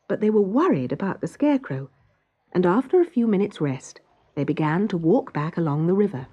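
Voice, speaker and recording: A woman reading a children's story as narrator, in an expressive, dramatic storytelling manner. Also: on the low side, slightly rough, highs cut off.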